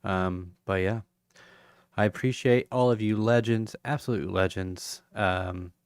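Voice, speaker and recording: A tired man with a fairly low, gravelly voice, winding down a livestream in a loose, rambling way full of ums. His voice sounds a little hoarse and he yawns through it. The sound is dry and close. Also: steady tone, dry recording. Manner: rambling, halting, many fillers. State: tired, yawning; voice going hoarse. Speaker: crypto livestream host. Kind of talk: casual livestream sign-off chat.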